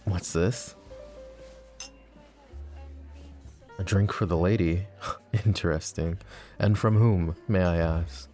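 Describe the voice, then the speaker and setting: An actor playing a possessive, flirty mafia boss in an ASMR roleplay drama, teasing and smug with a cold, threatening edge. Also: male voice, low-pitched, steady tone, a little slow, loud music behind the voice.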